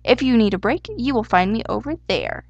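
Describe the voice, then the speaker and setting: A woman's voice: on the high side, brisk, no room sound, dull audio. A woman voicing a fussy, eager male storybook hare with a German accent, exclamatory and easily indignant. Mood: proud. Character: excitable, fussy male Easter hare wearing pants. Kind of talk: storybook character voice.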